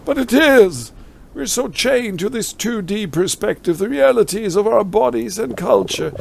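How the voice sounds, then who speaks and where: A man's voice: high voice, lively intonation, a little slow, vocal fry, a little hiss or hum. A man with a German accent doing a let's play, reading game text aloud and adding wry, ironic asides. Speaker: let's player.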